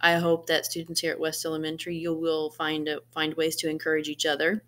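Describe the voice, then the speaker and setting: A woman's voice: low voice, completely flat delivery, brisk, fairly bright tone. A middle-aged woman giving an informal, encouraging talk to students as a read-aloud presenter, recorded indoors at arm's length. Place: small living room, indoors.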